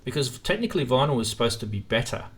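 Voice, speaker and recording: A man's voice: medium pitch, sing-song, fast talker. An Australian man hosting a casual live chat about music gear. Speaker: live stream host.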